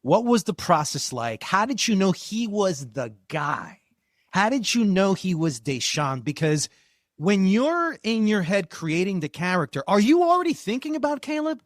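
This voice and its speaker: A male podcast host asks his questions with a lively, rising and falling voice that sits somewhat high. The sound is dry and close-miked, with no room around it. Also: moderate pace, dry recording. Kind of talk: podcast interview.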